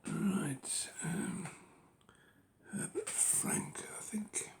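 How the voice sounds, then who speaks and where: Gravelly hoarse man, half-whispering. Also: high voice. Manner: unhurried, musing, lots of ums and rights. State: losing his voice. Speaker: book collector. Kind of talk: casual collection walkthrough.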